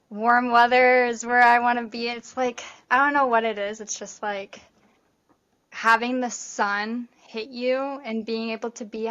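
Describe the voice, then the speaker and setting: A woman's voice: fairly high pitch, a bit bright, muffled recording. A woman hosting a podcast interview, upbeat and chatty with lots of fillers. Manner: upbeat, chatty, says "like" often. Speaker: podcast host. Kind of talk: casual interview chat.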